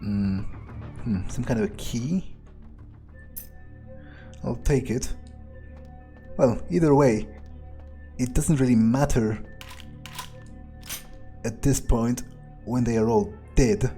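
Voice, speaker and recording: A man's voice: mid-range voice, slow, pauses often, warm tone, faint music behind. A man doing in-character video game commentary, thinking aloud in a hesitant, musing, sardonic way with casual swearing. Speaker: gaming video creator playing in character.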